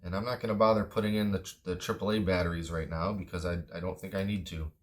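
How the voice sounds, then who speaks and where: A man with a low-pitched voice reviews a product off the cuff. He is matter-of-fact and a little tentative, with an understated delivery. It sounds like casual, unscripted talk. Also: steady tone, fast.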